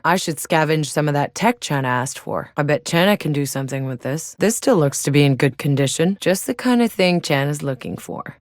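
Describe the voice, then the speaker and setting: Woman doing tough wry soldier lady for a video game, low pitched, terse and matter of fact, dry studio sound. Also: no room sound, close to an everyday voice. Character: tough, wry female soldier scouting a hostile alien world. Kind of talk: scripted video game voice lines.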